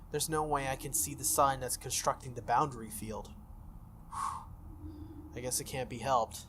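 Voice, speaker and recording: A man's voice: medium pitch, a bit bright, slight background noise. A man reading a visual novel aloud as a let's play host, with casual irreverent asides.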